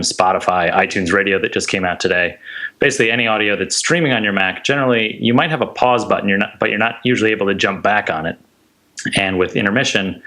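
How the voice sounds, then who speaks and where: A man in his thirties talks as an interview guest. His voice is very low in pitch, with a bright, forward edge. He explains in a casual, conversational way, close to the microphone. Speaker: interview guest, software maker. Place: small indoor room on a video call. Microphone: close large desk microphone.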